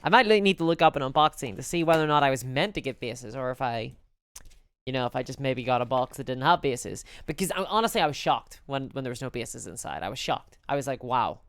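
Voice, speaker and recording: A woman with a Belfast accent, chatting casually and candidly as a live streamer in an animated, conversational way. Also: very deep voice, fast talker, no echo. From Northern Ireland. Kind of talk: casual chat to a live audience.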